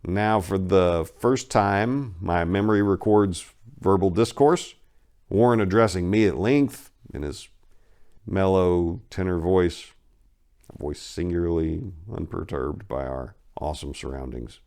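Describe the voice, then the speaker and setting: Very deep-voiced man reading gothic horror. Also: measured pace, darkish tone. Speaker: narrator, amateur story reader. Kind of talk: dramatic reading of a classic horror story.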